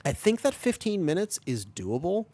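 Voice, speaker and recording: Man, raspy voice, live gameplay commentary, chatty, explains tactics, keyboard typing. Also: high-pitched, very animated, normal speed, warm tone, dry recording. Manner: chatty, explains tactics while playing, many fillers. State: recovering from being sick, raspy voice. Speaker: streamer playing a tank.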